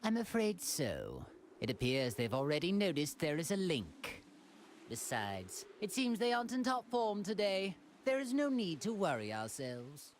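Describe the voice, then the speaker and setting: A man's voice: on the high side, huge pitch swings, measured pace, a little hiss or hum. A man playing a haughty, cruel alien tyrant in an anime fighting game, with pompous, refined and disdainful speech. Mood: smug, scornful. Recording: music behind the voice.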